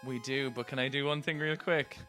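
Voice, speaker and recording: A man's voice: medium pitch, fairly even intonation, a little fast, over music. A man co-hosting a podcast playthrough in playful role-play banter, theatrical, silly and sweary. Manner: theatrical, silly, sweary, breaks into sound effects and sing-song. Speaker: podcast co-host and player.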